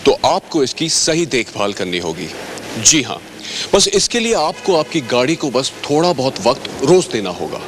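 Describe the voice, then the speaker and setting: A man's voice: medium pitch, very animated, normal speed, a bit bright, a little hiss or hum. A man presenting a scripted training film as a service advisor, courteous and instructive, addressing viewers directly.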